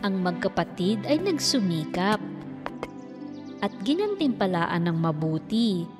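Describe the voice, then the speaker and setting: A woman's voice: mid-range voice, sing-song, measured pace. A woman storyteller reading a scripted children's fairy tale as narrator, with long reverb on the voice. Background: faint music.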